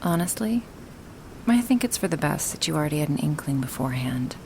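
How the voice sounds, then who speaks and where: A woman voicing a gentle, affectionate dragon woman in an intimate ASMR roleplay, breathy and hesitant, often slipping into whispers. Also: low-pitched, sing-song, slight background noise, full character voice. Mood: hesitant, gently frank. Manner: slow, hesitant, with sighs and pauses. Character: gentle dragon woman, awkward, affectionate toward her human. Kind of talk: ASMR roleplay, spoken softly and intimately to the listener.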